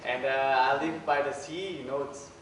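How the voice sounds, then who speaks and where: A man's voice: medium pitch, fairly even intonation, moderate pace, a bit bright, slight background noise. A man from Portugal with a Portuguese accent, telling a story hesitantly and informally, searching for words. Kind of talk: telling a personal story in a workshop performance. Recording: echoey studio room from far off.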